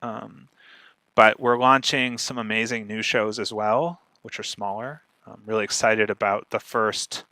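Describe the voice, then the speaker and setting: Man, bright forceful voice, opinionated podcast strategist, informal Q&A, close dry sound. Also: on the low side, fairly even intonation, measured pace, no echo. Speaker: podcast growth strategist and guest speaker. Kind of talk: informal question-and-answer talk.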